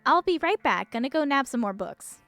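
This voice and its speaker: A woman's voice: sits high, sing-song, brisk, a bit bright, voice pushed up, exaggerated voice. A woman voicing an eager, chirpy young woman who researches monsters in a visual novel. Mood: eager, upbeat. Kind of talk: visual novel character reading. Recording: music behind the voice.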